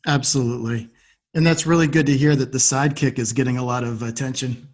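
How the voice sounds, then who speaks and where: Polite male podcast host, medium pitch. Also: fairly even intonation, fast, darkish tone. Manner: polite, affirming. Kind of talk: interview questioning.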